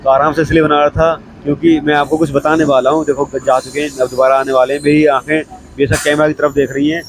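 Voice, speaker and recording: Hoarse young guy with a cold vlogging on a train platform, flat voice, clip mic, a bit of traffic noise. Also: on the high side, quick pace, low-quality recording. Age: young adult. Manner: chatty and repetitive, keeps saying okay. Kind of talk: casual vlog talk to camera. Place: railway station platform, outdoors.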